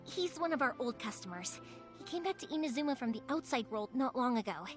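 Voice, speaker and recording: A woman voicing a cheerful, warm-hearted young woman in a video game, chatty and casual, with music playing behind her. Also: sits high, sing-song, fairly quick. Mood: reassuring, matter-of-fact. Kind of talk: English video game character acting.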